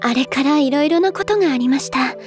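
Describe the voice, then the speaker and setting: A woman playing a modest, soft-spoken teenage girl idol who speaks very formally in an anime drama. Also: high voice, a little fast, music in the background, natural voice. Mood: gently reminiscent. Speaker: voice actress in character. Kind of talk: anime audio drama dialogue.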